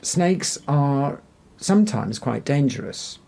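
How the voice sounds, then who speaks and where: A man being interviewed as an expert, explaining things in a gentle, reassuring and tentative way. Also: mid-range voice, animated, slow, vocal fry. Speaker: psychiatrist, interview guest.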